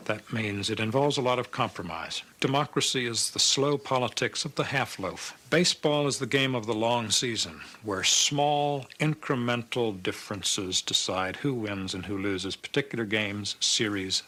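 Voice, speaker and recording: A man speaking in a measured, aphoristic way, as in a reflective spoken essay. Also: medium pitch, a bit bright.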